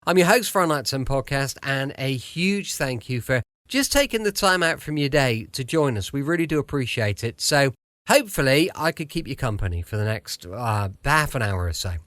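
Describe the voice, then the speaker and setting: A man's voice: medium pitch, big pitch swings, normal speed, fairly bright tone, no echo, studio quality. A man with a British accent giving a chatty introduction as a podcast host. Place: home studio.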